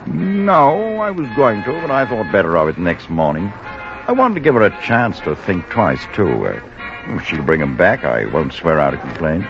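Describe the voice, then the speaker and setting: An actor playing an evasive, smooth-talking man in a 1950s radio drama. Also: low voice, sing-song, moderate pace, over music. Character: smooth evasive middle-aged man, host of a party. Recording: muffled old radio broadcast.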